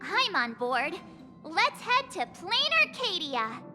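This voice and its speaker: A woman voicing a bubbly, kind-hearted teenage girl adventurer in an anime game, perky and expressive. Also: very high voice, slow pace, long reverb tail, over loud music, exaggerated voice. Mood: hopeful.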